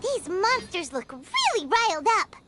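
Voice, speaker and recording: Brief: high, bouncy, eager little fairy sidekick for a game. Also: female voice, huge pitch swings, unhurried, very noisy, exaggerated voice. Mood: chirpy. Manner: bubbly, upbeat, eager. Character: tiny chirpy female fairy companion. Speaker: player's guide companion. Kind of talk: video game dialogue. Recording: loud game music behind. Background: game music.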